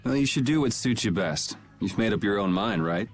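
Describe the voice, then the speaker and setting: Low-voiced, wry young rebel. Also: male voice, animated, brisk, vocal fry, faint music behind. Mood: wry, casual. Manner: casual, wry, dry. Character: laid-back, sardonic young male rebel swordsman. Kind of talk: video game voice acting.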